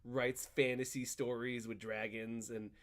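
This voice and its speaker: A man co-hosting a casual chat, recapping a video game in a rambling, animated storytelling way. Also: medium pitch, unhurried. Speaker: podcast co-host.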